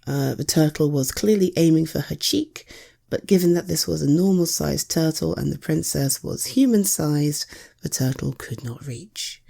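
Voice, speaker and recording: A woman with an English accent tells an improvised ASMR bedtime story. Her voice is lowish and mellow with some crackle in it. She sounds playful and a little hesitant, as if talking to someone beside her. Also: moderate pace, creaky. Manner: playful, hesitant, chatting to an unheard listener.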